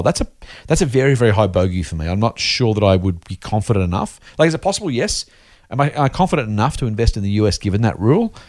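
Male podcast host, Australian accent. Quick, animated, chatty and matey, with a close dry sound. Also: lowish voice, dry recording. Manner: chatty, addresses others as mate. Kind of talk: casual listener mailbag discussion.

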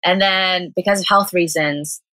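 Young American woman, bright crisp voice, dry close sound, chatty guest, laughs easily. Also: lowish voice, fairly even intonation, normal speed, no echo, rough recording. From Southern California. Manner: chatty, laughing, many "like" fillers. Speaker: guest, vegan cafe owner. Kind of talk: casual interview chat.

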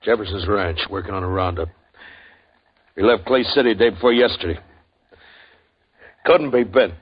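An actor playing a weary, reluctant frontier sheriff with a gruff, grudging manner in a 1950s radio drama. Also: male voice, on the low side, moderate pace. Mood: defensive. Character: weary, reluctant old-west sheriff, stubborn. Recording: dull old radio broadcast.

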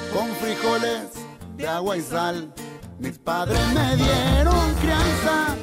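A voice doing a proud ranch-born countryman, declaiming over loud salsa. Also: high-pitched. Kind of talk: recited rural monologue. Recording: loud salsa music behind. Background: salsa music.

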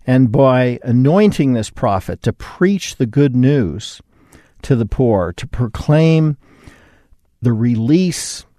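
A man hosting a religious radio segment, explaining scripture in a measured, explanatory way. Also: mid-range voice, mellow, dry recording, studio quality. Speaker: radio show host.

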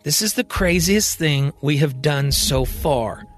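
Firm, frustrated female team member read by a man, insistent, high register, audio drama, light music under. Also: animated, takes it slowly. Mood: anxious. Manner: exasperated, insistent.

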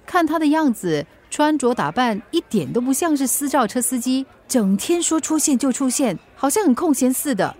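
An actress with a Singaporean accent playing a flustered, defensive, forgetful mother in a naturalistic radio drama, with expressive colloquial protests. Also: sits high, moderate pace, hardly any pauses. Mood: uneasy.

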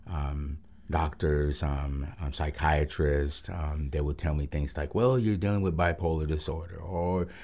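A man from Rico, a Spanish speaker, giving a halting, reflective personal testimony as a guest pastor on a radio show. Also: very deep voice, fairly even intonation, a little mellow. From Puerto Rico. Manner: halting and reflective, with frequent pauses.

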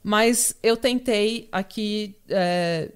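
A woman's voice: mid-range voice, extremely slow, smooth. A young woman with a Brazilian accent, hosting a podcast and telling a true crime story in a casual, chatty way with frequent fillers. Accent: Brazilian Portuguese. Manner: chatty storyteller, laughs often, many fillers. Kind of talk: casual chat.

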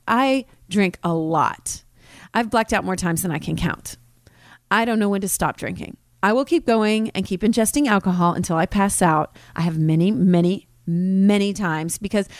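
A woman's voice: medium pitch, no echo, clean studio sound. A woman in her forties hosting a podcast, talking candidly and confessionally in a personal monologue. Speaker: podcast host.

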